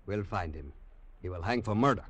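Casting: firm commandant, authoritative, dry close sound, old radio drama. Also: male voice, sits low, animated, brisk, a bit bright, slightly rough, dry recording, exaggerated voice. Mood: determined. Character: stern military commandant man, commanding. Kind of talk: 1950s radio drama acting.

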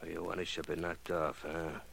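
A low, creaky-voiced New gangster boss in forties radio drama style. He talks wry, roundabout underworld slang with cool menace, like a businessman selling rough work. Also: little pitch movement, fairly quick, fairly bright tone, a little hiss or hum. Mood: coolly menacing. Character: slangy male racketeer boss, coolly menacing hired killer. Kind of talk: 1940s radio crime drama acting.

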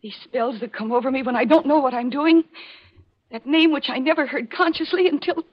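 A woman's voice: high-pitched, brisk, natural voice. An actress playing an anguished, fearful young woman in a 1940s radio melodrama, dramatic and imploring. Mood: horrified, anguished. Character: anguished young woman fearing her own dark nature. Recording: muffled vintage radio broadcast.

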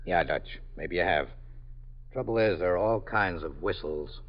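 A man's voice: deep voice, measured pace. An actor playing a stern male police lieutenant who questions a suspect curtly, in 1950s radio drama style. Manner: curt, firm questioning. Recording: dull old radio broadcast.

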